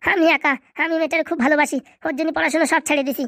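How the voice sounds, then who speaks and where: Young cartoon dude, super fast slangy banter, high pitched-up voice, cheeky n excitable. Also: male voice, no echo, clean studio sound, full character voice. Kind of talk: comedy cartoon dubbing in colloquial rural dialect.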